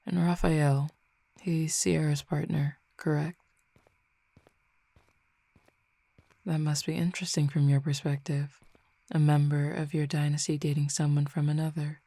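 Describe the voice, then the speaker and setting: A woman voicing a composed, formal supernatural cupid roommate who speaks in precise, measured words and teases gently. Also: low-pitched, flat delivery, creaky, no echo. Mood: curious. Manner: precise, formal wording, measured and unhurried, soft asides. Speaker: voice actor in an audio roleplay. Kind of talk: audio roleplay addressed to the listener.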